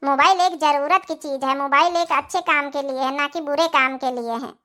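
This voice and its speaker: Female channel host, high and bright, Awadhi accent, moralizing to viewers. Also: fairly even intonation, fairly quick. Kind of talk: direct address to viewers, moralizing.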